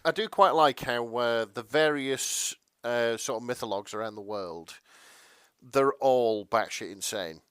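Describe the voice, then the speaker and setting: A man's voice: medium pitch, unhurried, a bit bright, smooth, dry recording, studio quality. A man co-hosting a podcast in casual banter, reacting wryly and swearing now and then. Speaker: podcast co-host.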